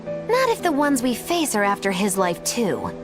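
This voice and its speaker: A woman's voice: fairly high pitch, huge pitch swings, moderate pace. A woman voicing a flippant, theatrical, teasing witch in a video game, over background music. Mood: dry. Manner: teasing, florid wording.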